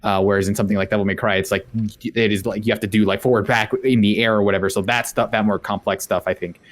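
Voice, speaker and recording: A man's voice: lowish voice, steady tone, fast talker. A man chatting on a podcast, explaining game controls with lots of fillers. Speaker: podcast co-host. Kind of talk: casual chat.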